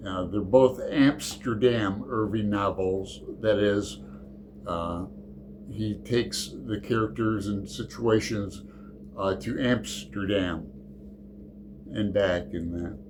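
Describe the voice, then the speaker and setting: A man hosts his own book channel in an unhurried, chatty way. He rambles, often halts mid-thought and starts his sentences over. There is a faint ambient hum behind him. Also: fairly low pitch. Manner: rambling, halting, full of restarts. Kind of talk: casual chat.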